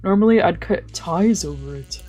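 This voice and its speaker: Woman streamer, low-pitched and mellow, narrating a visual novel with offhand commentary over soft music. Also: lively intonation, moderate pace, lo-fi sound. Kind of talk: dramatic let's play read-aloud with casual asides. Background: game music.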